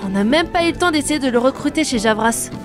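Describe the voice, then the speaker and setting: A woman's voice: sits high, animated, fast talker, music in the background. A woman playing a blunt, skeptical holidaymaker in a comic audio drama. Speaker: amateur voice actor in an audio series.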